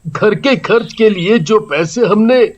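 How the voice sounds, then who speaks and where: A high-pitched, weary husband in pain in a story drama. He talks slowly and despondently. The sound is slightly dull. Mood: worried, resigned. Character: injured husband, worried, groaning factory worker.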